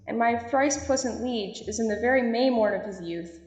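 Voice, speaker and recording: Woman playing a fervent bishop, highish, echoey. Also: normal speed, darkish tone, lightly acted. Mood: fervent, rousing. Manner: declamatory. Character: fervent bishop rousing a king to war. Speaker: amateur actor in student audio drama. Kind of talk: Shakespearean verse acting. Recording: echoey hall, dull audio, music underneath.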